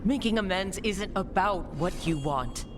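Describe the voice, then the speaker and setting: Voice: lively intonation, a little slow, over music, natural voice. An actor playing an earnest, resolute video game hero, speaking firmly and directly. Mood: firm appeal. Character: earnest, resolute hero pleading with a former ally. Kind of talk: dramatic video game cutscene acting. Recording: loud ambient rumble behind voice.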